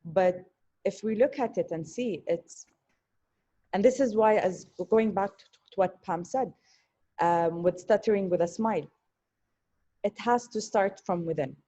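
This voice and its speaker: Earnest woman, mellow voice. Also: mid-range voice, a little slow, pauses often, dull audio. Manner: thoughtful, earnest, with hesitations and repetitions. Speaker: panelist. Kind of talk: online panel discussion. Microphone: video call.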